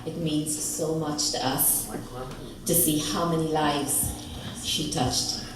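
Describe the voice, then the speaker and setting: A woman giving a tender, measured eulogy for her grandmother at a funeral. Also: low voice, steady tone. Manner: slow, tender, measured delivery with soft fond asides. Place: funeral hall. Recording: echoey hall from far off, faint noise.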